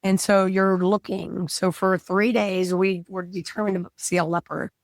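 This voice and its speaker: Woman with a cold, chatting excitedly. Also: medium pitch, unhurried, dry recording. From United States. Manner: excited storytelling. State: fighting a cold, losing her voice. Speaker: guest. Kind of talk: casual chat.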